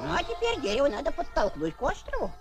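An actor voicing a bossy, excitable little cartoon gnome with an insistent, exclamatory, commanding delivery. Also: male voice, extremely high pitch, quick pace, exaggerated voice. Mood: urging. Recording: old film soundtrack with loud music.

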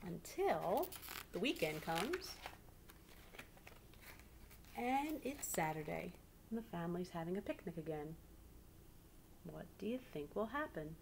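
Middle-aged female teacher, read-aloud storyteller, a little low in pitch, noisy living-room webcam recording. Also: creaky. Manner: expressive reading, pausing to prompt listeners. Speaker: teacher reading a picture book aloud to pupils. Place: small living room indoors.

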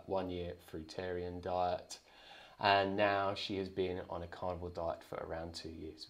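A man in his thirties hosting a conversational interview over a video call. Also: low voice. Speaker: interview host. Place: small indoor room, video call.

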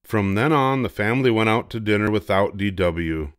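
An older man reads a children's book aloud. His voice is on the low side and expressive, captured with very little room sound. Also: moderate pace, clean tone, no room sound, studio quality. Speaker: storybook reader.

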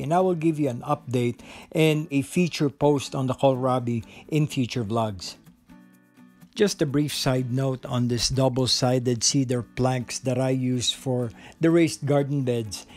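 Enthusiastic man narrating a garden tour. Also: mid-range voice. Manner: enthusiastic, explanatory, with some fillers. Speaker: home gardener showing his garden. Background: soft guitar music.

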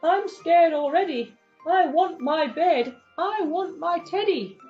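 A woman's voice: high voice, big pitch swings, normal speed, dark tone, muffled recording, faint music behind. A woman voicing a frightened little boy in a storybook, plaintive and full of exclamations. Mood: scared. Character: frightened little boy looking for his lost teddy.

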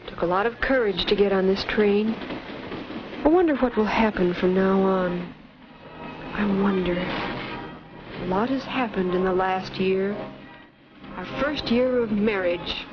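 A woman's voice: mid-range voice, slow pace, pauses often, noisy background, lightly acted. An American actress in her twenties playing an earnest, devoted newlywed wife in a wholesome 1950s educational film style. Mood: wistful, reflective. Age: 20s. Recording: muffled vintage 1950s film soundtrack, music behind.

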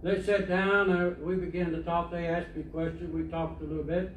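An older man delivers a sermon from the pulpit, telling stories in a plain, conversational way. His voice sits fairly high for a man. It is picked up by a distant camera and echoes around the church sanctuary. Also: flat delivery, moderate pace. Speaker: preacher. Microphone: far camera. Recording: echoey church from far off, faint hiss.

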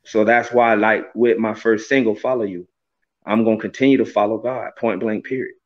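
A man's voice: lowish voice, fairly even intonation, moderate pace. Earnest man on a dull video call. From Florida, USA. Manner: earnest, reflective, many "you know" fillers. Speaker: gospel recording artist, guest. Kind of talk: casual interview over video call. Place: small room indoors. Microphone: webcam on a video call, close framing.

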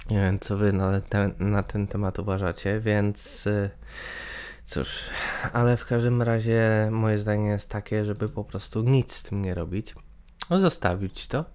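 A man's voice: on the low side, fairly even intonation, measured pace, a little mellow, clear, resonant tone. A young man in his twenties giving his opinion to camera, hesitant with many fillers and restarts, recorded on a webcam in a small room. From Poland. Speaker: gaming channel host. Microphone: webcam in corner of screen capture.

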